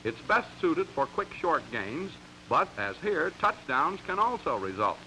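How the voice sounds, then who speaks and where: American man, vintage 1940s newsreel-style instructional narration, slightly high pitch, piercing bright tone, emphatic, faintly noisy old film audio. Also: very animated, measured pace. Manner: crisp, emphatic, instructional delivery.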